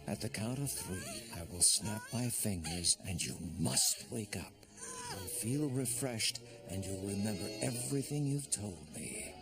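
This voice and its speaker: Slow, creaky-voiced cartoon hypnotist. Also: male voice, fairly low pitch, huge pitch swings, heavily acted. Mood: calm authority. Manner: slow, soothing, deliberate. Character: calm, commanding adult male hypnotist. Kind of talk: animated series voice acting. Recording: loud music under the voice.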